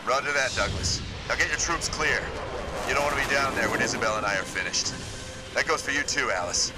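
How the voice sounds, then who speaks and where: A man's voice: medium pitch, fairly quick, lightly acted. A man playing a composed, resolute supersoldier squad leader in a video game, speaking in terse, matter-of-fact military phrases. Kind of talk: video game cutscene acting. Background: loud background music.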